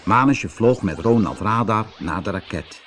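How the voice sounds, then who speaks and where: A man's voice: lowish voice, lively intonation, normal speed, fairly bright tone, soft background music. A man narrating a scripted children's story as an audiobook reader.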